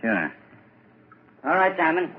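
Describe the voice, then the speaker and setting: Amiable village constable, animated voice. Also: male voice, a little high, slow, husky, full character voice. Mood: matter-of-fact. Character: amiable, unhurried village constable, puzzled and soothing. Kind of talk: 1940s radio drama acting. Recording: dull, noisy old radio recording.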